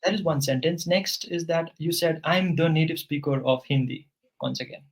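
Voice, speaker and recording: A man's voice: a little high, moderate pace. A man working as an English tutor, patiently giving corrective grammar feedback in a one-on-one session.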